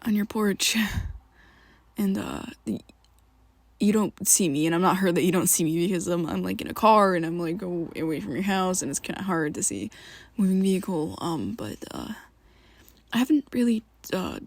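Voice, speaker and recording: A woman in an ASMR roleplay, talking to the listener as a worried best friend, halting and rambling, often sinking into a soft whisper close to the mic. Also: fairly low pitch, animated, dry recording. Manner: hesitant and rambling, halting restarts.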